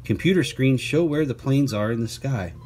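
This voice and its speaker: A man reads a children's picture book aloud, clearly and deliberately, adding chatty asides and playful questions for young listeners. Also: mid-range voice, animated, darkish tone, soft background music.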